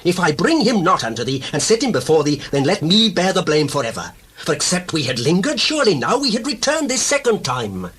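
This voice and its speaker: A man's voice: on the high side, very animated, brisk, a bit bright, slightly rough, heavily acted. An actor playing an earnest, commanding man of the ancient world in a scripture drama, with emphatic declamation. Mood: urgent, pleading. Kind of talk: dramatized scripture reading in archaic English.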